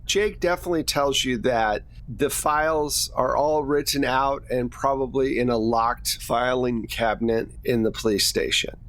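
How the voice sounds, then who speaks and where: A man's voice: mid-range voice, normal speed, fairly bright tone, low-quality recording. A man acting as game master, narrating a tabletop roleplaying game.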